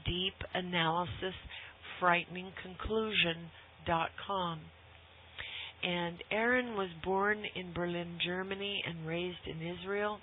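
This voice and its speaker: A woman hosting a conversational radio interview. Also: fairly low pitch. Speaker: radio show host. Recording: tinny phone-like audio, clear background noise.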